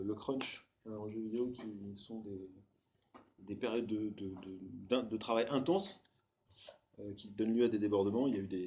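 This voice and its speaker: A man moderating a round table, asking questions haltingly as he searches for words. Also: fairly low pitch, warm tone. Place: conference room. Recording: dull mic with faint noise.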